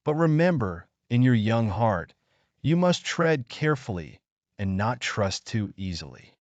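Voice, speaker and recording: A man voicing a wise, thoughtful old female owl who gives gentle advice in a children's story. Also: mid-range voice, very animated, measured pace, no echo, highs cut off. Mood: gently cautioning. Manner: thoughtful, advising.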